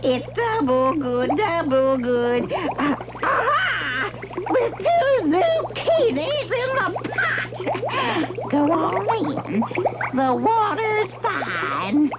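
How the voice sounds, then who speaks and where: High, swooping, scheming witch. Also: very animated, full character voice. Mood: gleeful scheming. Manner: drawn-out, gloating, exclamatory. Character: scheming, vain witch brewing potions. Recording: music under 1970s television soundtrack.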